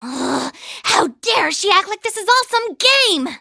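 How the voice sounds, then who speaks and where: Feisty young game girl, high voice, sharp tongue, up n down a lot, dry sound. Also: sing-song, unhurried, creaky, a little hiss or hum, exaggerated voice. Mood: indignant. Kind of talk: English video game voice acting.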